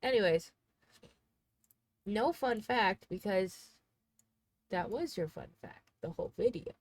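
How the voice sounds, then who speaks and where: A woman's voice: medium pitch, animated, takes it slowly, no echo. A young woman in her twenties streaming from home, congested and sniffly, chatting in a rambling, casual way. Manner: rambling, chatty, thinks aloud. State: congested, sneezing. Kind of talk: casual livestream chat.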